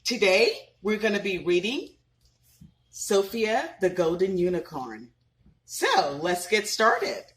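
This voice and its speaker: Expressive mom reading a storybook aloud. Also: female voice, mid-range voice, slow. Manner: expressive, asks listeners questions. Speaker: parent reading to children. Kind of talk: reading a picture book aloud with asides.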